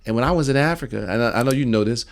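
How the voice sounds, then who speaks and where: A middle-aged American man hosting a podcast conversation, talking in an animated, emphatic way and often jumping in over his guest. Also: medium pitch, rapid-fire. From United States. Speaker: podcast host. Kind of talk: casual conversation.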